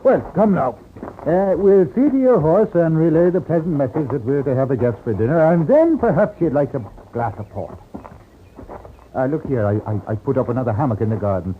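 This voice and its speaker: A man voices a genial older Irish mission priest with a lilting, very animated delivery. He is hearty and a little rambling, mock-solemn in his Irish accent, heard on a tinny, faintly hissy old radio drama recording. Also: on the high side, a little fast, natural voice. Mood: genial, playful. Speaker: parish priest.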